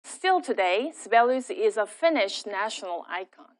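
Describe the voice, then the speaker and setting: A woman lecturer giving an academic talk in a measured, explanatory way, her first language Korean. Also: mid-range voice, expressive.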